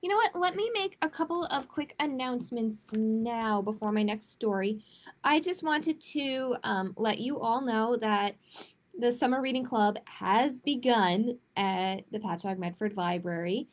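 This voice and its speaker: A woman hosting a children's storytime, reading picture books aloud and chatting to families in an expressive way. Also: on the high side, measured pace. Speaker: children's librarian, storytime host. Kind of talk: picture book read-aloud and chatty announcements to young families.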